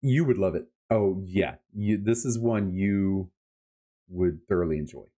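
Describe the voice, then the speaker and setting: A man with a fairly low voice hosts a casual book-review chat. He speaks in an enthusiastic, colloquial way, leaning on his words for emphasis as he shares his opinions. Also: a little slow, mellow. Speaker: podcast host reviewing books.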